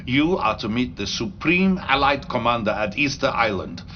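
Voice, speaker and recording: An actor playing a treacherous, grandiose Soviet premier in a video game cutscene, speaking deliberately with menace and a Russian accent. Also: male voice, mid-range voice, lively intonation, pushed and bright, muffled recording, a little hiss or hum. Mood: conspiratorial, menacing.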